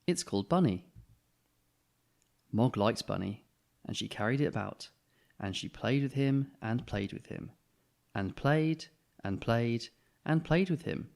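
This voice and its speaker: A man narrating a children's storybook in an expressive way, as a reader who acts out the dialogue. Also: medium pitch, unhurried, vocal fry.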